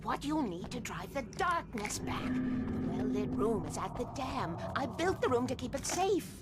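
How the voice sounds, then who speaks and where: An actress playing a stern, eccentric older recluse in a video game, clipped and scolding. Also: female voice, a little high, big pitch swings, moderate pace. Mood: scolding, cryptic. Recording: loud music behind the voice.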